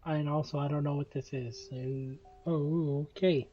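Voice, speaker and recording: A male streamer commenting casually as he plays. His voice is a little breathy and rough, and his manner is informal and exclamatory. The sound is slightly dull, like a lo-fi stream. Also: on the high side, fairly even intonation, a little slow, dark tone, soft background music. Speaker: game streamer. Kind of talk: casual reaction commentary while playing.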